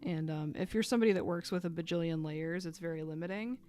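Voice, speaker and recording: A woman chatting casually while she draws on a live stream, thinking aloud with fillers and little laughs, a bit hoarse as she loses her voice. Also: on the low side, fast, dark tone, faint music behind. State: losing her voice.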